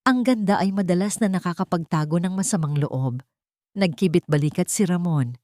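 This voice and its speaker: A woman's voice: fairly low pitch, normal speed, mellow, no echo, studio quality. A woman reading a scripted romantic drama story as a narrator.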